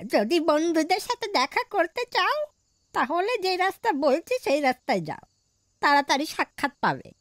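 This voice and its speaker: A high, swooping old woman's voice, acted by a woman, cryptic and ominous, as in a ghost story drama. She sounds like a mysterious village elder giving strange directions, recorded close and dry. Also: big pitch swings, normal speed, no echo. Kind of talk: dramatized audio horror story.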